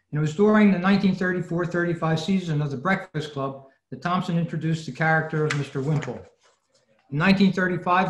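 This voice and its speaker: A man reading a prepared talk as a presenter on a video call, in scripted narration. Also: on the high side. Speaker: old-time radio club presenter. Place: indoor room, video meeting. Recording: echoey room on video call.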